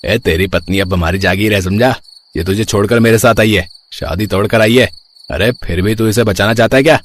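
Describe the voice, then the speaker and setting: A man voicing a menacing, taunting street thug in an animated story. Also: on the low side, fairly quick, natural voice. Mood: threatening.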